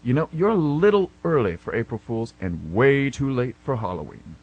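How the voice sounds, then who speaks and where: A man plays a gruff police officer in a radio drama, impatient and dryly sarcastic. His voice is raspy and medium in pitch, with animated swings as he needles his listener. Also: moderate pace, narrow, tinny audio. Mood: sardonic, dismissive. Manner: sardonic, curt, unimpressed. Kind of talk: amateur radio drama acting.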